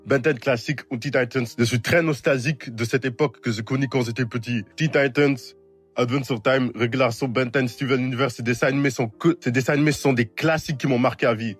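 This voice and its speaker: A young man commenting casually on cartoons in a relaxed, slangy way. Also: medium pitch, fast, husky, soft background music. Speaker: video essayist.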